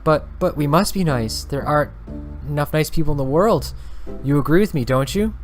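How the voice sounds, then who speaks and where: A man's voice: fairly high pitch, moderate pace, soft background music, voice pushed up, natural voice. A man voicing a kind, naive young nun who speaks earnestly and pleadingly, in a visual novel read-aloud.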